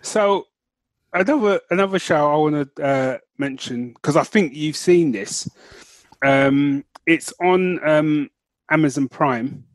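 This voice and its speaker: A man co-hosting a podcast, chatting casually in an animated, slangy way. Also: fairly high pitch, slow, no room sound. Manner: animated, informal, slangy, swears freely. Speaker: podcast co-host. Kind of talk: casual chat.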